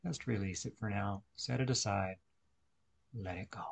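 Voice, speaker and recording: A man leading a guided meditation, speaking deliberately in short instructional phrases with pauses. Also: fairly low pitch, creaky, slightly rough.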